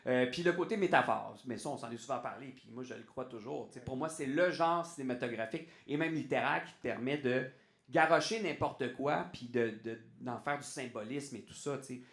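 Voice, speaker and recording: A man with a Québécois French accent, a guest on a podcast, talking in an animated way and restarting his sentences often. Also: medium pitch, brisk, roomy sound. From Quebec. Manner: animated, restarts often, says "tu sais" a lot. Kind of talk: casual podcast interview.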